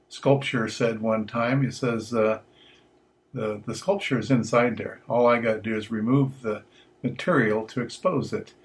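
A man's voice: mid-range voice, slightly rough. Easygoing older man chatting casually. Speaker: hobbyist video host. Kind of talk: casual chat to camera.

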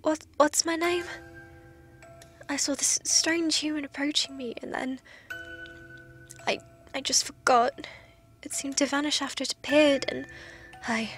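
A woman's voice: high voice, fairly bright tone. A woman playing a lonely, forgetful undead woman recording hesitant, intense logs in an audio drama. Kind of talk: audio drama log monologue.

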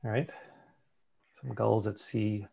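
A man's voice: on the low side, steady tone, takes it slowly, gravelly, warm tone, slightly rough. A man casually presenting a slideshow on a video call. He thinks aloud, with fillers and restarts. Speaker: meeting presenter.

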